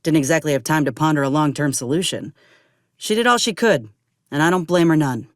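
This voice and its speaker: A woman's voice: sits low, expressive, a little fast, no echo. An actress playing a plainspoken, stubborn woman soldier in a video game, with folksy, dry, blunt phrasing. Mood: dry, steady. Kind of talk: video game character dialogue.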